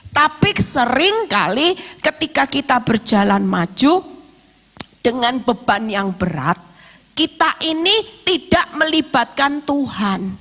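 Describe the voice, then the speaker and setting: Woman, middle-aged, East Javanese Indonesian accent, sharp bright tone, slightly hoarse, emphatic sermon delivery. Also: high voice. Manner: emphatic, with rhetorical questions and acted-out quotes. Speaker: evangelist, guest preacher.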